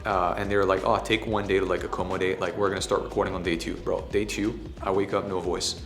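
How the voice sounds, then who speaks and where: Hoarse man vlogging in an echoey room. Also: sits low, level tone, quick pace, faint music behind. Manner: casual, swears, talks softly to spare his voice. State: hoarse, recovering from voice loss. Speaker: ads agency owner hosting a workshop. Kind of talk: casual vlog talk to camera.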